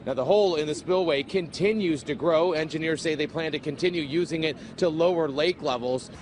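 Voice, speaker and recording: American man, TV field reporter, fairly high voice, broadcast delivery, outdoor recording, faint background noise. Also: a little fast, darkish tone. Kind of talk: live broadcast news report. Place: outdoors near a dam spillway.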